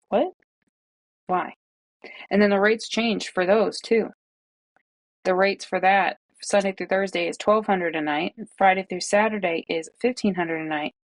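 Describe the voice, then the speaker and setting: Gravelly-voiced exasperated woman vlogger from New England. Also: fairly low pitch, no room sound. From Massachusetts, North Shore of Boston. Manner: incredulous, ranting, exasperated asides. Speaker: true crime YouTuber. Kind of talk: casual commentary vlog.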